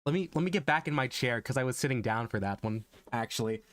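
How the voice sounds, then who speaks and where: A man's voice: medium pitch, lively intonation, fast talker, a bit bright, no echo. Male livestreamer, informal rambling chat, music bed underneath. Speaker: streamer and voice actor.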